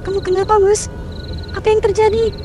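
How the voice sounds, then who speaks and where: A woman's voice: very high-pitched, little pitch movement, a little fast, music in the background. A woman voicing an anxious young village wife in a horror cartoon, calling out and pleading. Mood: frantic. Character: young village woman searching for her husband.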